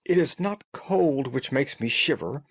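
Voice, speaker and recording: A man's voice: mid-range voice, expressive, unhurried, gravelly, husky, dry recording, clean studio sound, pitched above the performer's own voice, exaggerated voice. A man voicing a frightened, weary genteel woman of thirty in a storybook, agitated and pleading in a formal way. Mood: fearful. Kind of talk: audiobook character dialogue.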